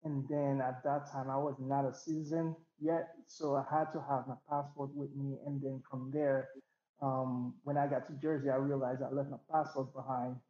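A young man from Haiti, a DJ, talking in a relaxed, rambling way with many fillers, as a guest on a video call. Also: medium pitch, moderate pace, reverberant, lo-fi sound. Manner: laid-back, rambling with many fillers and restarts. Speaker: DJ and interview guest. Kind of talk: casual interview over a call.

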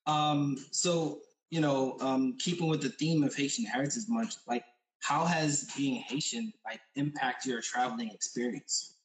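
A man's voice: medium pitch, steady tone, unhurried. Male interview host, easygoing and slangy, rambling questions, echoey room. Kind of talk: casual interview chat.

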